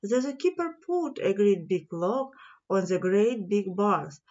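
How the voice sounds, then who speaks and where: Slow-reading woman telling a children's story. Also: medium pitch, animated, a little mellow, muffled recording. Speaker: children's audiobook reader. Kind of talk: fairy-tale narration for children.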